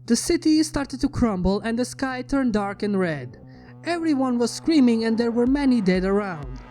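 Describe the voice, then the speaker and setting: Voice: medium pitch, animated, normal speed, warm tone, soft background music. A narrator reading a fan fiction story aloud in a scripted storytelling style.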